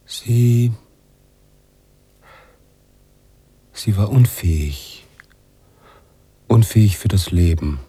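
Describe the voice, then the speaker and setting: An actor playing a weary, brooding writer who narrates a literary radio drama in a musing, halting, husky way. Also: male voice, on the low side, expressive, a little hiss or hum. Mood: brooding, emphatic. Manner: musing, halting, drops into hushed tones. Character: weary, brooding bearded male writer narrating his story.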